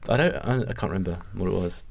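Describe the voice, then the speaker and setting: A man co-hosting a podcast in casual banter, playfully argumentative and quick to interject. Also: low voice, creaky, heavy background noise. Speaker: podcast co-host.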